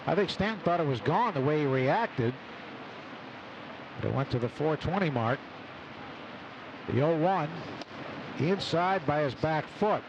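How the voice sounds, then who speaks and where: A man doing live radio baseball play-by-play in clipped short phrases. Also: fairly high pitch. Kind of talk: live radio sports commentary. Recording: noisy outdoor ballpark radio broadcast. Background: steady ballpark noise.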